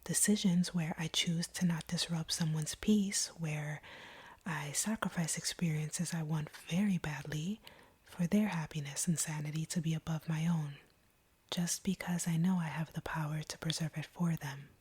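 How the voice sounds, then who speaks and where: Casting: actress as a conflicted vampire woman for intimate audio roleplay; very breathy whisper, lowish pitch, earnest and deliberate. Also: fairly even intonation, darkish tone. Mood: wounded, resentful. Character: conflicted female vampire confessing feelings to her hunter.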